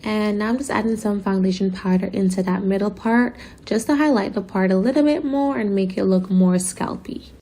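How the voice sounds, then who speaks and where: Raspy young woman, gravelly late-night voiceover, chatty and gushing. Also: medium pitch, normal speed, few pauses, dark tone, reverberant. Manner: chatty, gushing, says "you guys" often. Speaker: beauty vlogger. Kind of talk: casual product review voiceover. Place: home at night, sirens outside.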